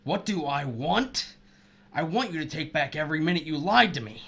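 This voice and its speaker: A man's voice: fairly high pitch, animated, normal speed, gravelly, bright, forceful tone, slightly rough, heavily acted. An actor playing a bitter, vengeful missile officer betrayed by his wife, intense and accusatory, in an audio drama. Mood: anguished rage.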